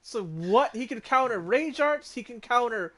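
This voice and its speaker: A man hosting a gaming stream, chatty and quick to laugh as he talks with friends between matches. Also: very high-pitched, expressive, crowd noise. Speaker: streamer host. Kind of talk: casual gaming stream chat.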